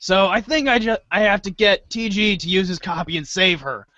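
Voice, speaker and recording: Man playing an excitable, high-pitched dorky teenage boy. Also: normal speed, no echo, dull audio. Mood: flustered, earnest. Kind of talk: read-along storybook reader's theater acting.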